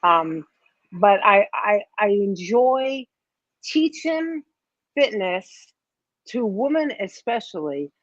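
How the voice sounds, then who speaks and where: A middle-aged woman with a New York accent answers interview questions over a video call, speaking very slowly and trailing off into fillers and restarts. The sound is dry and close, with a dull, lo-fi tone. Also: medium pitch, lively intonation, dry recording. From Staten Island, New York. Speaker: guest.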